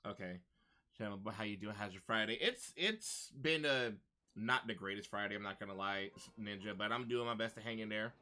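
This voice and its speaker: Bright, slangy man streaming. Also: lowish voice, a little fast. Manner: informal, friendly, slangy. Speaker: game livestreamer, host. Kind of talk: casual gaming stream chat. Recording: faint game music behind. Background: game music.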